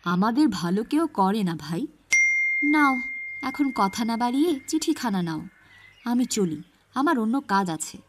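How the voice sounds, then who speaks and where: A woman voicing a busy, excitable male village postman with a hurried, exclaiming manner in an animated story. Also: fairly high pitch, noisy background.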